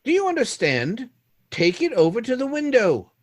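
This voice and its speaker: Man acting an animated, uneasy scientist doctor. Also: very high voice, normal speed, no echo, studio quality, full character voice. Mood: commanding. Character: uneasy male scientist doctor, deferential yet commanding. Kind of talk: radio drama acting.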